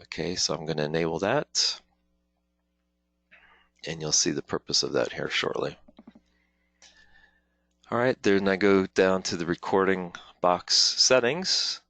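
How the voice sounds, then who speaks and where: A man presenting an informal software walkthrough as a webinar trainer, explaining step by step in a halting way with frequent pauses. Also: sits low, gravelly, dry recording. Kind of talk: informal software tutorial, live demonstration walkthrough.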